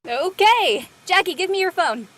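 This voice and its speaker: A woman playing a cheeky teenage high school girl in an audio play, with a playful, joking manner. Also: animated, normal speed, fairly bright tone. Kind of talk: conversational acting in an online audio play.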